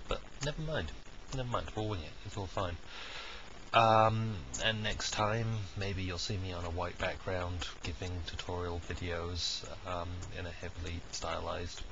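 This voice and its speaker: Low-voiced man with a cold. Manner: rambling, thinking aloud with frequent ums and uhs. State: congested with a heavy cold. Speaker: video diarist, software technical lead. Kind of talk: unscripted casual video diary. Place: dining room at home, indoors. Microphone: cheap webcam microphone. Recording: dull lo-fi webcam sound with steady background noise.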